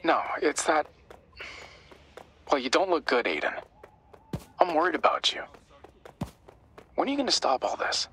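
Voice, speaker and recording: An actor playing an earnest, caring young tech helper in a video game, eager and explanatory. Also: male voice, fairly high pitch, very animated, unhurried, pauses often, fairly bright tone, slight background noise. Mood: worried. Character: earnest, worried young male tech-savvy sidekick. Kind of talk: cinematic game dialogue.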